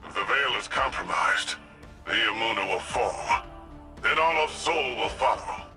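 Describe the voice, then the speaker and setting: An actor playing a resolute, self-sacrificing veteran sci-fi warrior in a game cutscene, with a gravelly, urgent delivery. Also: male voice, mid-range voice, animated, measured pace, loud music behind the voice, natural voice. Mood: grim resolve. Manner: forceful, urgent, heroic. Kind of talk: video game cutscene acting.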